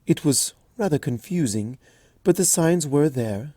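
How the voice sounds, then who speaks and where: A man voicing a gentle, regal, motherly pony princess in a fanfic reading, calm, hushed and kindly. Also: fairly high pitch, a little slow, no echo, clean studio sound, voice pushed up. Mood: tender.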